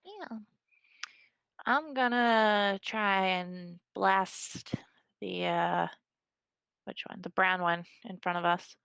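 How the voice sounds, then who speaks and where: A woman plays a tabletop game in a very slow, casual way. Her voice is bright and clear, recorded dry. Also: mid-range voice, no room sound, poor audio. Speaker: player in a tabletop role-playing game. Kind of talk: casual online gaming chat.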